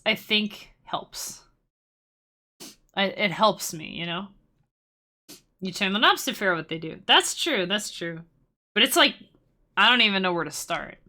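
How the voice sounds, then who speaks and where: A woman's voice: on the high side, bright, forceful tone. A young woman casually teaching music-making on a live stream, rambling and self-deprecating with playful asides.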